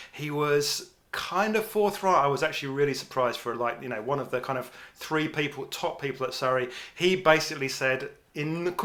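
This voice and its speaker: A man with an English accent, his voice bright and forceful, co-hosts a casual podcast. He talks informally and rambles, often restarting his sentences. His pitch sits in an ordinary middle range. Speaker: podcast co-host. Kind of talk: casual cricket podcast chat.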